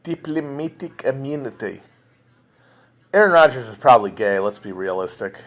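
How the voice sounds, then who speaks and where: A man's voice: mid-range voice, animated, normal speed. Rambling, profane man chatting. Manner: rambling, profane, lots of restarts and trailing off. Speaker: podcast host answering chat. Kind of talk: casual livestream chat. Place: home, alone at a phone or computer.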